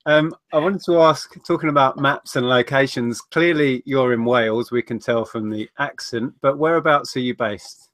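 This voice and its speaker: A man's voice: medium pitch, moderate pace, a bit bright, no echo. Man hosting a dry-sounding live stream. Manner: earnest, with repeated restarts. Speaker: host. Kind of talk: casual live-stream chat.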